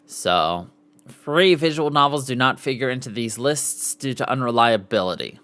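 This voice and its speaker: A man's voice: medium pitch, animated, normal speed, pushed and bright, smooth. A man hosting a casual live podcast, reading aloud and sometimes stumbling over the words. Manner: stumbles over foreign names, sounding them out. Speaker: podcast host.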